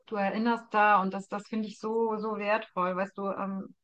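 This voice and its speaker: A woman's voice: mid-range voice, level tone, normal speed, a bit bright. A woman hosting a conversational interview, speaking in an appreciative, affirming way. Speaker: interviewer, host.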